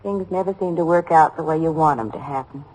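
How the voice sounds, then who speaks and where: Chatty, husky-voiced American housewife. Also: female voice, deep voice, fairly quick, a little mellow, poor audio. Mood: resigned. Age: middle-aged. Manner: rambling, chatty, homely. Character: chatty, homely widowed housewife, middle-aged, anxious to please. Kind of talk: old-time American radio drama acting.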